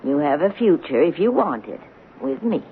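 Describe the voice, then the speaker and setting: A kindly elderly woman in a radio drama, earnest and sorrowfully moralizing. Her pitch moves up and down expressively. The sound is a tinny old broadcast with faint noise. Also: very animated, moderate pace. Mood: tender, pleading. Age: older. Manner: earnest, lamenting.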